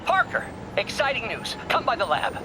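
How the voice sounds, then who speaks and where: An actor playing an eager, insistent scientist in a video game, heard over a noisy phone call. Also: male voice, extremely high pitch, sing-song, normal speed. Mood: excited, insistent. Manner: eager, pressing. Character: excited, insistent male scientist, self-absorbed in his breakthrough.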